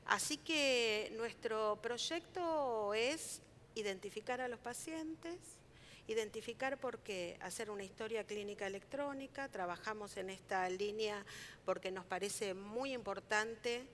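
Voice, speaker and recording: A woman speaks as a health official in a steady, measured, official way, pausing often. Her voice sits at a middle pitch, and a faint rumble runs underneath. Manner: measured, official, many "eh" pauses. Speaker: city health official, panelist. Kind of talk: panel talk at a forum.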